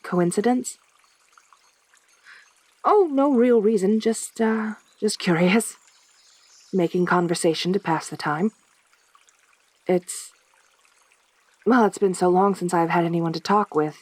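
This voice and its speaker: A woman playing a lonely, possessive centaur girl in a soft-spoken audio roleplay, with a halting, stammering delivery. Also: fairly low pitch, dry recording. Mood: shy, nervous.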